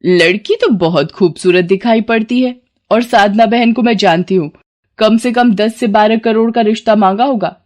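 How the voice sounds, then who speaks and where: A woman's voice: mid-range voice, normal speed, a little mellow, natural voice. A haughty, rich mother-in-law of middle age, put on by a woman storyteller. She is scornful and melodramatic, a schemer from a family morality tale.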